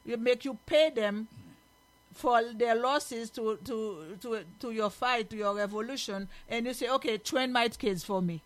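A woman's voice: on the high side, unhurried. Emphatic woman with a Haitian accent. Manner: emphatic, opinionated. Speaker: host. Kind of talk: reading news aloud with spoken commentary.

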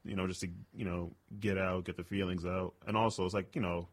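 A man's voice: little pitch movement, brisk. A man, a stand-up comedian, chatting casually as an interview guest, rambling with lots of "like" and "you know". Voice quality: booming voice.

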